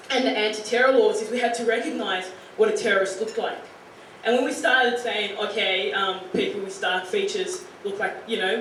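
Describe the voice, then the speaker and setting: A woman's voice: medium pitch, fairly bright tone. A young Australian woman in her late teens talks earnestly to a room of students, her speech loose and full of "you know" and "like." She is heard from a distance in a very echoey room. A faint hiss sits underneath. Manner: earnest, chatty, with many "you know" and "like" fillers. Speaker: guest speaker to high school students. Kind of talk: informal talk to a student audience.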